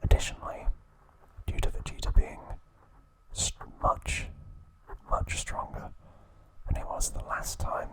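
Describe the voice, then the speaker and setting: A man whispering an ASMR recap of trivia, pausing now and then to correct himself. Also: poor audio. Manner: slow soft whisper, occasional self-corrections. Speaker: ASMR host.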